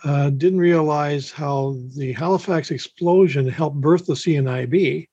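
Husky higher-voiced man on muffled call. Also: unhurried, warm tone. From Canada. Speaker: meeting participant. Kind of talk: casual spoken reflection. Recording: dull lo-fi online call audio.

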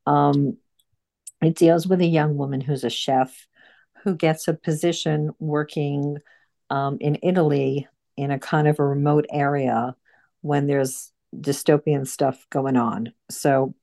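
A woman hosting an informal book group discussion, speaking haltingly and often repeating her phrases. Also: low-pitched, steady tone, gravelly, dark tone.

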